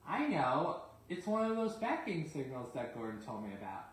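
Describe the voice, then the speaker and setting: A man's voice: a little high, sing-song, normal speed, very echoey, poor audio. A man voicing a cheeky, self-important young male steam engine for a children's storybook. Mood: excited.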